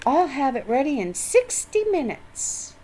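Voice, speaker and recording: Storybook role: agreeable but faintly weary girl, high, slow delivery, acted by a woman. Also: sing-song, warm tone, slight background noise, poor audio. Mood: resigned. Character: obliging, slightly weary young girl. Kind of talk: children's storybook character voice.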